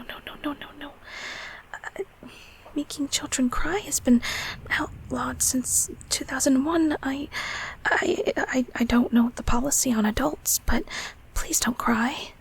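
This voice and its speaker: Woman playing a flustered, gentle closet creature, high-voiced. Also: gravelly, slight background noise, heavily acted, poor audio. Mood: flustered, pleading. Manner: flustered, stammering, repeating words. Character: nervous, gentle female childhood closet creature, apologetic and kindly. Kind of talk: audio roleplay acting, spoken to the listener.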